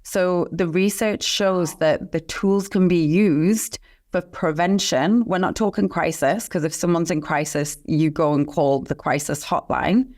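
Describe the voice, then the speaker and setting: Low-voiced earnest woman guest. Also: unhurried, darkish tone. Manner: earnest, passionate, talks of mission and calling. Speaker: guest expert. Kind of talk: candid interview talk.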